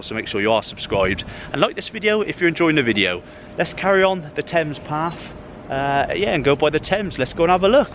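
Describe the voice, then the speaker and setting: A man's voice: medium pitch, a bit bright. A middle-aged English man with an English accent, chatting enthusiastically and rambling a little as he walks along a river on a cold morning. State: cold, stiff-mouthed. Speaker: football vlogger. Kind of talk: casual walking vlog. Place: outdoors by a river in a city. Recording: faint outdoor street noise. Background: faint traffic and city ambience.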